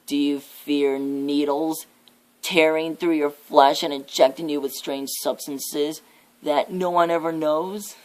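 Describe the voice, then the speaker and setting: Man playing a slow, menacing devil. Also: on the high side, fairly even intonation. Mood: rising menace. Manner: ominous, drawn-out, theatrical delivery. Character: menacing devil, a living nightmare preaching fear and death. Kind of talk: slow ASMR horror roleplay monologue.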